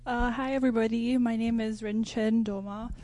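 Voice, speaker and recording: A woman's voice: a little high, steady tone, normal speed, warm tone. Earnest young woman from Nepal. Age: young adult. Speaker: student introducing herself. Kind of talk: casual public introduction.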